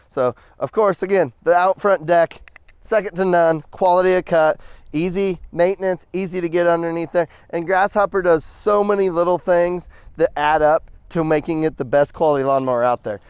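A man's voice: darkish tone, clear, resonant tone, dry recording. A man in his thirties giving a casual, upbeat product walkthrough as an equipment salesman, talking outdoors on a dealer lot with a lapel mic. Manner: upbeat, chatty sales pitch with fillers.

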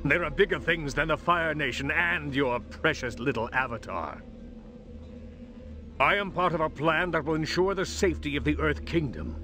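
An actor playing a haughty, scheming royal official in a cartoon game, formal, pompous and menacing. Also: male voice, a little high, noisy background.

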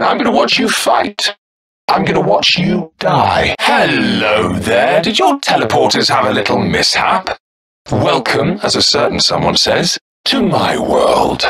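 A man's voice: a little high, echoey room, in a large hall, distant mic, full character voice. A man voicing a vengeful robot arena announcer in a video game, taunting and mock-polite with gleeful menace. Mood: bitter, vengeful, then gleefully menacing.

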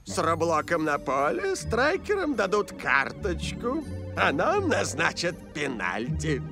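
A man playing a scheming, gloating older football villain in an animated cartoon dub. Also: a little high, slow, over music, full character voice. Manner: theatrical, gloating.

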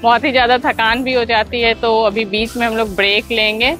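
A woman in her thirties giving a chatty travel vlog tour with a lapel mic, pausing with "uh" as she explains things to viewers. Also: fairly high pitch, little pitch movement, fairly bright tone, faint music behind, rough recording. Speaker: travel vlogger. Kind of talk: casual travel vlog narration. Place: outdoor theme park. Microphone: clip-on lapel mic.

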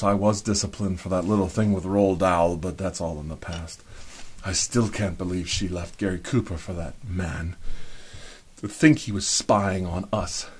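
A man's voice: on the low side, a little mellow, slightly rough. A man playing a chummy, genteel American spy gadget supplier disguised with a put-on British accent, reminiscing in old-fashioned phrases in an improvised roleplay. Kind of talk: improvised one-sided spy roleplay, addressed to the listener.

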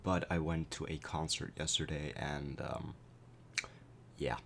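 Young man with a very deep, cracking voice. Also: a little slow, a little hiss or hum. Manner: relaxed, explanatory, many fillers. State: voice cracking after a concert. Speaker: gameplay commentator. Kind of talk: casual gameplay commentary.

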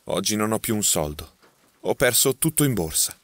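A man's voice: low voice, a little fast, dry recording. A man voicing a frightened young man accused of murder, his words tumbling out in agitation. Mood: desperate. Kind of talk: audiobook character voicing.

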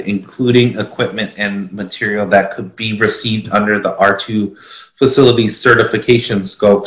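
A man in his forties explaining requirements informally and haltingly, repeating words as he goes. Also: fairly low pitch, fairly bright tone. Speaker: consultant explaining a standard. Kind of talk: explanatory talk, reading requirements aloud. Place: small indoor office. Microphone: video call computer microphone. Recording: echoey room, video call.

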